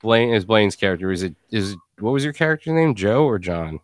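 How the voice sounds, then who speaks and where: Low-voiced man hosting, dry close sound. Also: brisk, no room sound. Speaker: podcast host. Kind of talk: casual post-show discussion.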